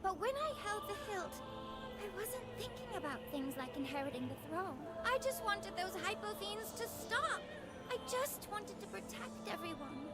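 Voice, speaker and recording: An actress playing a proud, easily flustered young princess in a fantasy game, haughty and emphatic. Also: female voice, very high voice, sing-song, normal speed. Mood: resolute. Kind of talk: dramatic game voice acting. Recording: game music playing behind.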